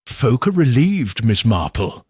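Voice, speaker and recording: A male reader plays a gossipy village postmistress in a storybook mystery. Her voice is lifted and lively in pitch, with a husky grain and an earnest, confiding manner. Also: unhurried, no room sound, clean studio sound. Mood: confiding, regretful. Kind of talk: audiobook character reading.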